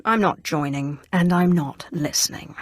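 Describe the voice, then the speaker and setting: An actress playing a cold, commanding Sith Inquisitor in a video game, with an imperious, clipped and dryly sardonic delivery. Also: female voice, low-pitched, unhurried, dry recording, studio quality. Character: female Sith Inquisitor, cold, commanding, menacing young sorceress. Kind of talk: scripted video game dialogue.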